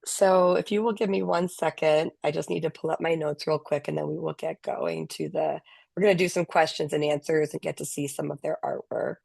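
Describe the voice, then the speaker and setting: A low-pitched woman hosting a video call panel. She introduces her guests with enthusiasm and a little fry. The sound is dry and close. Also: steady tone, a little fast, dry recording. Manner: enthusiastic, warm introductions with fillers. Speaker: host. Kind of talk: casual online panel hosting.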